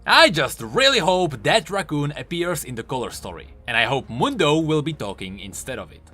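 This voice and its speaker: A man's voice: a little high, big pitch swings, moderate pace, pushed and bright, slightly rough. A man hosting a video, giving scripted commentary on a game character in an explainer style. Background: music.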